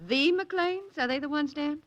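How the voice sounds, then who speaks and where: An actress playing a breezy, wisecracking newspaper society editor in a 1940s radio drama, with a wry, teasing manner. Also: female voice, sits high, measured pace, a bit bright, no echo. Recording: dull vintage radio recording.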